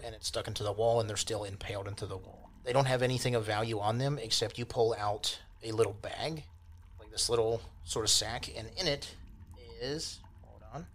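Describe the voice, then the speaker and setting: A man's voice: fairly low pitch, a bit bright, a little hiss or hum. A man acting as game master, improvising descriptions in a casual, rambling, colloquial way.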